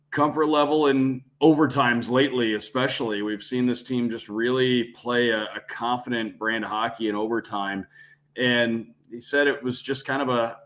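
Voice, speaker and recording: A man's voice: mid-range voice, fairly bright tone. Fluent man giving sports analysis. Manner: fluent, expansive explanations. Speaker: podcast guest, radio play-by-play broadcaster.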